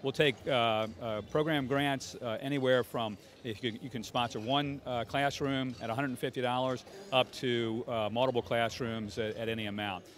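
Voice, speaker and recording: A man in his forties speaking for a charity program in an interview, halting and full of fillers, into a handheld mic. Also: mid-range voice, steady tone. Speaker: charity representative. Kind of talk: interview answer.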